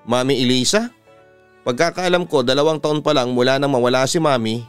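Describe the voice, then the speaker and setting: A man's voice: medium pitch, brisk, smooth, soft background music, close to an everyday voice. A man voicing a sullen, resentful young son in a narrated story, curt and switching between English and Tagalog.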